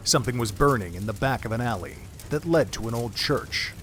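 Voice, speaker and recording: A man's voice: medium pitch, animated, brisk, a bit bright. A man giving solemn, literary scripted narration for an audio drama.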